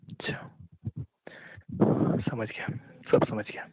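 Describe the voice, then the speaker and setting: A young man in an intimate phone conversation, talking in a halting, subdued, resigned way. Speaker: caller talking with an ex-partner. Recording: muffled narrow-band phone call, echoey.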